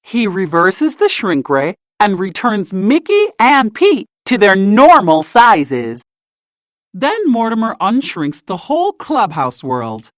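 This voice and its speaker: A woman narrating a children's storybook in an expressive, deliberate read-aloud style. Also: medium pitch, dry recording, clean studio sound.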